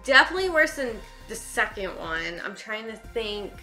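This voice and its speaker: A woman's voice: on the high side, big pitch swings, normal speed, bright, forceful tone, soft background music. A woman in her thirties hosting a casual movie reaction chat, informal, giggly and exclamatory, in a living room. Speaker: host of a movie reaction show.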